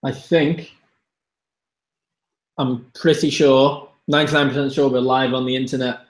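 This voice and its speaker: A man hosts a live web presentation in a relaxed, chatty way, speaking directly to his audience. His voice sits at an ordinary middle pitch. The room around him is audibly echoey. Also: a little slow. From Wales. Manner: chatty, addresses viewers directly. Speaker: host of online masterclass. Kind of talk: casual live-stream presentation. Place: room, Budapest. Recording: roomy echo.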